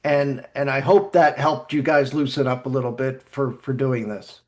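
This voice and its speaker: A man hosting a casual group podcast chat, talking in a halting way and often repeating his words. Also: mid-range voice, steady tone, a little mellow. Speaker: podcast host.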